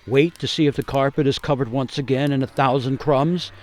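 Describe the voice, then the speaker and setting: An actor playing a haunted gingerbread cookie who tells a grim cautionary tale in a suspenseful, theatrical radio monologue with deliberate pauses. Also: male voice, mid-range voice, fairly even intonation, darkish tone, soft background music, natural voice. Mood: urgent warning. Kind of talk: dramatic monologue for radio storytelling.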